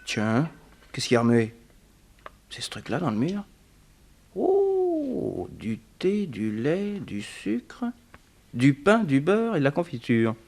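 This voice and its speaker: An actor playing a wry, resourceful detective held prisoner, in the theatrical, ironic style of a 1960s French radio serial. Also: male voice, on the high side, huge pitch swings, takes it slowly, gravelly, pauses often, a little mellow, exaggerated voice. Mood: curious, amused. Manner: theatrical, ironic, muttering to himself.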